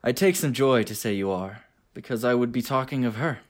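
Woman playing an earnest lovesick young man, very low. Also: lively intonation, brisk. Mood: devoted. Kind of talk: radio play Shakespeare comedy acting.

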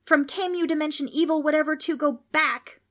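A woman voicing a nervous young boy in a storybook, who speaks in a halting, jumbled word order. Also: high voice, steady tone, a bit bright, voice pushed up. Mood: panicked.

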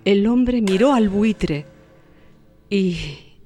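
A woman's voice: medium pitch, animated, drawn-out words, dark tone. A woman narrator reading a scripted literary audio story. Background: music.

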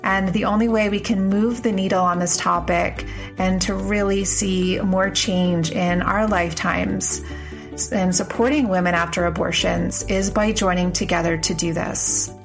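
A woman hosting a podcast, speaking a little stuffed up from a cold, earnest and slow on her emphatic phrases. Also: lowish voice. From United States. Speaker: podcast host. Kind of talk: podcast intro monologue.

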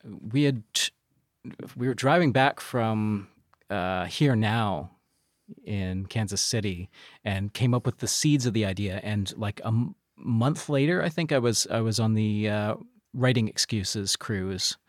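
Low-voiced man in casual conversation. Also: unhurried, no room sound, clean studio sound. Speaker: podcast producer and writer, interview guest.

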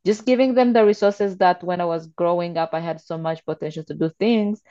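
A woman's voice: on the low side, brisk, mellow, dry recording, dull audio. A young woman from Congo, raised in Uganda, earnestly answering interview questions in an informal way. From Democratic Republic of Congo, raised in Uganda. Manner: earnest, informal with fillers like "kinda" and "like". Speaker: guest, nonprofit founder.

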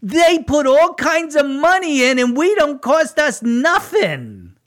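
Sarcastic male co-host with a lively, high voice. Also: slow pace. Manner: mocking, sarcastic, laughing at opponents. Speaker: podcast co-host. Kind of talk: sarcastic local politics commentary.

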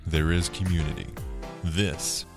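A man with a fairly low voice recites a scripted podcast creed over clear music. His delivery is solemn, in short declarative statements. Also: steady tone, a little slow. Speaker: podcast announcer.

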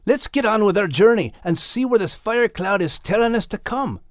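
A man voicing a small, sensible male dog in an audiobook, plain-spoken and a little gruff, with a Scottish accent. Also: high voice, animated, moderate pace, no room sound, studio quality, voice pushed up. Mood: advising. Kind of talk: audiobook character acting.

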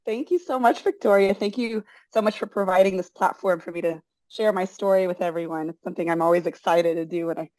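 A woman's voice: lowish voice, fast talker, few pauses, a little mellow, dry recording. Chatty woman in a dry, close recording. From born in the United States, raised in Brazil. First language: bilingual in Portuguese and English. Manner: rambling and chatty, many fillers and restarts. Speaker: interview guest, forest school founder. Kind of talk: casual interview conversation.

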